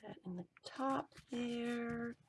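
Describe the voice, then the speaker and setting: A woman crafting and talking to herself as she works, her voice going hoarse. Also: fairly high pitch, slow pace, slight background noise. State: voice giving out, hoarse. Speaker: collage journaling vlogger. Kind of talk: casual running commentary while crafting.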